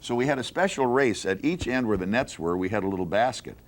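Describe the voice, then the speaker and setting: A man with a fairly low voice trades jokes and stories in a casual group chat. His pace is quick, and he has the wisecracking manner of a radio veteran. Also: animated. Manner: joking, wisecracking. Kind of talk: casual group banter, storytelling.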